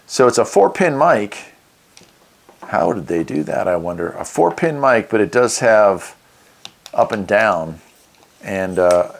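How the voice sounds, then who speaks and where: A man's voice: fairly low pitch, creaky. A man hosting a casual product walkthrough, easygoing and thinking aloud as he goes. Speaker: radio reviewer, channel host.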